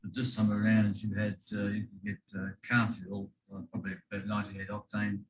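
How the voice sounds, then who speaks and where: An Australian man asking an aviation guest technical questions, soft-spoken and hesitant with many "uh" and "um". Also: fairly low pitch, monotone, fairly quick, dull audio, a little hiss or hum. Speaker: audience questioner.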